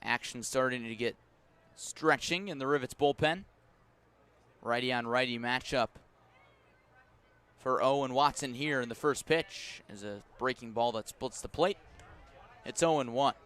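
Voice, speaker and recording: An American man doing live baseball play-by-play, measured and descriptive between pitches and emphatic on the action, speaking from a ballpark press box. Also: fairly bright tone, smooth. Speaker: baseball play-by-play radio announcer. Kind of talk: live sports commentary.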